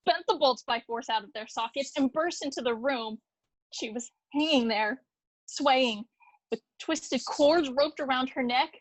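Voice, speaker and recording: An actress plays a horrified messenger from the palace in a Greek tragedy. Her voice is high and dry, telling the tale with dramatic, theatrical gravity. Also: no room sound, close to an everyday voice, poor audio. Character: horrified palace messenger reporting gruesome deaths.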